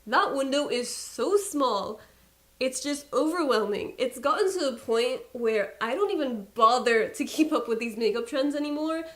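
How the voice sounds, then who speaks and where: A woman's voice: high-pitched, lively intonation, normal speed. A young woman with a Persian accent, a beauty YouTuber talking casually to camera, chatty and exasperated with playful asides.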